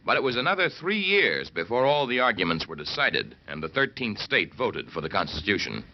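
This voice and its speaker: American guy doing earnest history narration, lots of pitch movement, dull old radio sound, bit of noise. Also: very animated, a little fast. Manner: earnest, rhetorical. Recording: muffled old radio recording, faint noise.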